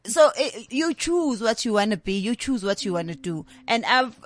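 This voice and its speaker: A woman's voice: a little high, normal speed, no echo, studio quality. A South African woman in her thirties, a comedian talking candidly in an interview and slipping into Zulu phrases. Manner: candid, animated storytelling mixing English with Zulu. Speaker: comedian guest. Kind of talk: interview conversation.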